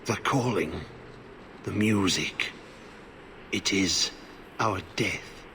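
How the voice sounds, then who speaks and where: An actor playing a half-mad, corrupted old warden in a video game, haunted and cryptic, speaking in halting fragments. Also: male voice, deep voice, sharp tone, slight background noise, exaggerated voice. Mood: bleak, tormented. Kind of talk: video game cutscene acting.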